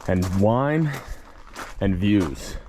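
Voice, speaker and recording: A man narrating a casual walking travel vlog, telling local tales in a playful, theatrical way with dramatic pauses. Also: on the low side, expressive, noisy background. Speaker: vlog host and storyteller. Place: outdoors, walking in a hilly lakeside village and vineyards.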